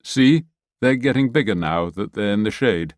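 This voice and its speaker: A man voicing a calm, steady ex-soldier who talks in a level, reasoning way. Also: fairly low pitch, normal speed, no echo, studio quality, lightly acted.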